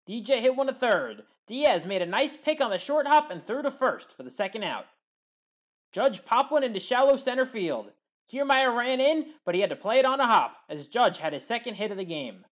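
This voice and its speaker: Woman doing sports highlights voiceover, kinda high voice, really up and down, scripted recap. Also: sing-song, normal speed. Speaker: highlights commentator.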